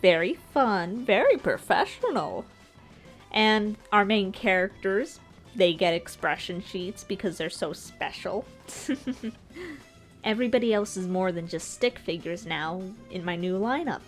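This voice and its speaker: Woman doing chatty art video commentary, informal n kinda self-deprecating, medium pitch, bit of music behind her. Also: animated, unhurried. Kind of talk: casual narrated video commentary.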